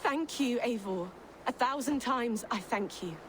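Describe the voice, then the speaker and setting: A gentle, gracious herbalist. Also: female voice, a little high, lively intonation, a little slow. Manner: polite, soft-spoken, gracious. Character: gentle older woman herbalist, kindly. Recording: game audio with clear ambient noise.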